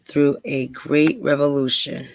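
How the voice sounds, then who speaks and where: A woman's voice: rumbling low, little pitch movement, measured pace, darkish tone, no room sound, low-quality recording. Earnest New woman vlogger. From New York, USA. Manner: earnest, many ums and repeats. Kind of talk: casual talk to camera, then reading aloud.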